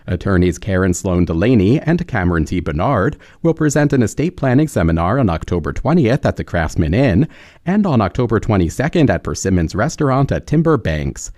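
A low-voiced radio announcer reads a sponsor message crisply, his pitch rising and falling a lot. The sound is dry and close. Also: very animated, brisk, darkish tone, no echo. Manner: crisp, read aloud. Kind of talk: scripted sponsor read.

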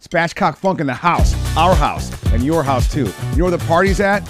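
A man announcing a cooking show promo with high-energy party hype. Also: animated, moderate pace, music in the background.

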